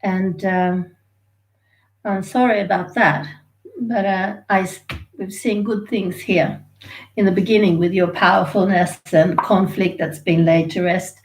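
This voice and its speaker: A woman's voice: medium pitch, steady tone, measured pace, rough recording. A woman, from Sweden and Australia, giving a chatty, rambling live tarot reading and laughing easily. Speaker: tarot reader.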